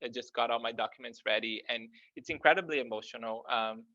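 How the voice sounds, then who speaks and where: A man with a Brazilian accent talks through financial topics with a bright, forward tone, pausing constantly on small fillers as he searches for words. The recording is dry and close, with a dull, lo-fi sound. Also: medium pitch, dry recording. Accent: Brazilian Portuguese. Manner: hesitant, heavy with "um" and "you know" fillers. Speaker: financial research guest. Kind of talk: explanatory conversational talk.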